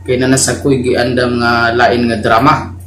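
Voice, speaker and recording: A man's voice: medium pitch, completely flat delivery, measured pace, fairly bright tone. A Filipino man with a Bisaya accent chatting casually as host of a radio drama channel, reading out listener comments. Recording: roomy echo, faint background noise.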